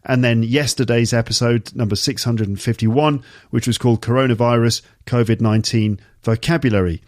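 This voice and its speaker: A man with a touch of a cold explains words to learners in a relaxed, conversational podcast monologue. His pitch sits in an ordinary middle range. The recording is close and dry, with almost no room sound. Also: no echo. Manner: chatty, explains words slowly and repeats key terms. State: has a slight cold. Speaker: English teacher and podcast host.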